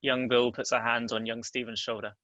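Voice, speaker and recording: A man's voice: medium pitch, level tone, fairly quick, sharp tone, no echo. A man reading stage directions as scripted narration at a table read.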